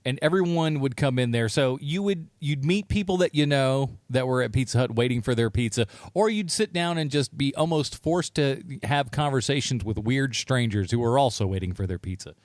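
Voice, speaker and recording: A man's voice: medium pitch, brisk, hardly any pauses, no echo, studio quality. An American man, middle-aged, co-hosting a podcast with casual comedic chat, acting out the lines he quotes as he tells stories. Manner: animated storyteller who acts out quoted lines. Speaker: podcast co-host.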